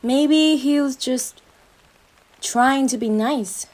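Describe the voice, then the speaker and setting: A woman's voice: high voice, darkish tone, rough recording. A woman playing a young, obsessive yandere girlfriend in a roleplay monologue, syrupy and menacing, speaking intimately to her partner with a jealous intensity. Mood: sweetly menacing. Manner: slow, sugary endearments swinging into intense jealous outbursts. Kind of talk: dramatic roleplay monologue addressed to the listener.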